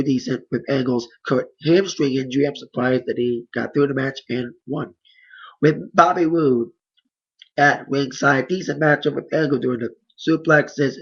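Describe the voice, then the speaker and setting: A hoarse man giving a casual, rambling play-by-play recap of wrestling matches. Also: medium pitch, highs cut off. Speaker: wrestling reviewer.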